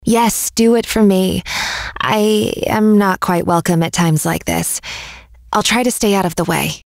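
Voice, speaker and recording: Fantasy game nightmare fairy played by a woman: aloof, subdued, gravelly edge, close dry recording. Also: mid-range voice, a little slow, no room sound, natural voice. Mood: reserved. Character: gloomy, reserved young nightmare fairy woman. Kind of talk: English fantasy game voice acting.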